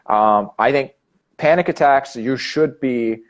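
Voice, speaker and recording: A man from Canada hosts a casual live conversation, stopping and restarting his sentences as he thinks. His voice sits in an ordinary middle pitch. The recording has a slightly muffled, lo-fi quality. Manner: halting, many restarts and fillers. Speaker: host.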